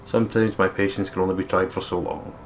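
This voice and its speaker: A man's voice: low-pitched, fairly even intonation, quick pace, creaky, a little hiss or hum. A man with a Scottish accent, reading game narration and chatting as a let's play co-host. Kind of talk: reading game narration aloud and casual commentary.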